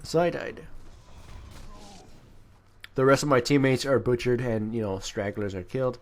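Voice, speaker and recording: A man's voice: medium pitch, moderate pace, slight background noise. A man giving casual, off-the-cuff gameplay commentary, rambling and correcting himself in crude, slangy language. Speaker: gaming video commentator.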